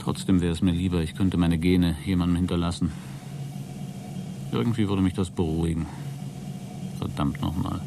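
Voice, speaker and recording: A man's voice: low voice, steady tone, moderate pace, vocal fry, pauses often, background noise. A man acting a sceptical, sardonic astronaut in a German radio play, with a dry, matter-of-fact delivery. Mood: resigned, frustrated. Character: sceptical, sardonic male astronaut on a lonely spaceflight.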